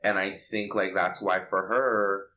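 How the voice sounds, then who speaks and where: A man's voice: low voice, flat delivery, a little slow, pushed and bright, slight background noise, rough recording. A man co-hosting a casual pop culture podcast, chatty and opinionated, peppering his talk with "like". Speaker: podcast co-host.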